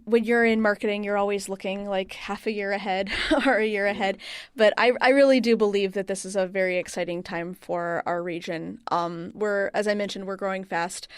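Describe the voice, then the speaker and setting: A woman's voice: mid-range voice, smooth, no room sound, studio quality. A woman answering as an interview guest in a casual, chatty, rambling way, with plenty of "like" and "you know". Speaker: interview guest, wine region marketing manager.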